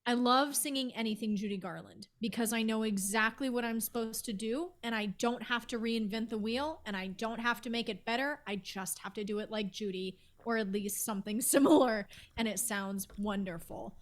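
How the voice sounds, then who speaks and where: Female singer guest, slightly high voice, earnest and upbeat. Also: normal speed, few pauses. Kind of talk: casual interview chat.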